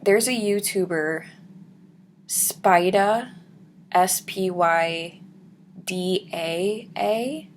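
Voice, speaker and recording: A young woman with a deep, raspy voice, chatting casually to her viewers at home and slipping into soft whispers. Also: a little hiss or hum. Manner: soft-spoken, drifts into whispers. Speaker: vlogger. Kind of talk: casual chat reading viewer comments.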